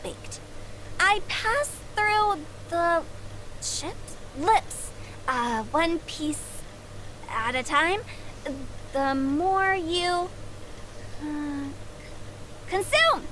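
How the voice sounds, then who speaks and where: A woman's voice: very high-pitched, sing-song, extremely slow, pauses often, pushed and bright, a little hiss or hum, full character voice, low-quality recording. A woman voicing a cheerful, organised young female contest announcer in a video game. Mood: halting, puzzled. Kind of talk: video game character acting.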